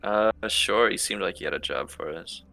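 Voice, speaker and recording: A man's voice: fairly low pitch, moderate pace, creaky, pushed and bright, dry recording, faint music behind. A man playing a tabletop game, moving between casual in-character lines and table talk.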